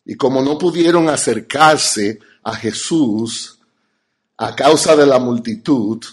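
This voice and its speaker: Male pastor, Dominican Spanish accent, husky mid-range voice, emphatic teaching delivery with deliberate pauses. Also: medium pitch. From Dominican Republic. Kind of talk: teaching sermon to an audience.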